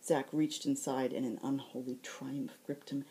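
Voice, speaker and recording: Woman author reading her story out, really low voice, mellow and dark. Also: fairly even intonation, measured pace. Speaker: author reading own novel. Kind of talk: scripted audiobook narration.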